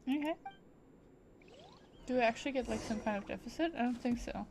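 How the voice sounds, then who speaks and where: A woman's voice: fairly high pitch, fairly quick, a little mellow, slight background noise. A woman doing casual live gameplay commentary, thinking aloud in short, playful fragments. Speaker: game streamer.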